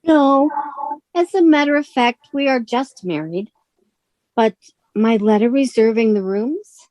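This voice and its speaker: A newlywed younger wife played by a woman in a radio suspense play, fond but easily vexed. The voice is fairly high and soft-edged, recorded close and dry. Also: lively intonation, a little slow, no room sound, poor audio. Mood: coy, teasing.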